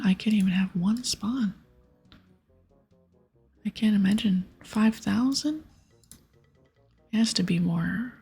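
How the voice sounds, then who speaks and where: A woman whispers and softly murmurs her way through a game in ASMR style. Her voice is very husky and breathy, with a crackle of vocal fry. Music plays faintly behind her. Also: medium pitch. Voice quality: breathy, hushed. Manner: mostly whispering, with stretches of soft speech. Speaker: ASMR gaming streamer.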